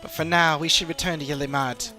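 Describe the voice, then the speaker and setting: A man's voice: fairly high pitch, steady tone, pitched above the performer's own voice, lightly acted. Man voicing a thoughtful fantasy game companion over music. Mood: concerned. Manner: measured, formal, thoughtful. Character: earnest, analytical adventuring companion in a fantasy game. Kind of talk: dramatic reading of game dialogue.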